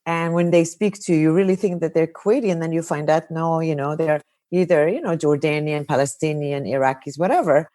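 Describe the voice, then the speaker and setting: A woman's voice: low voice, fairly even intonation, fairly quick, few pauses, dark tone, no echo. A woman podcast host and counselor, talking in a chatty, anecdotal way full of "like" and "you know.". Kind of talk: casual podcast conversation. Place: Kuwait.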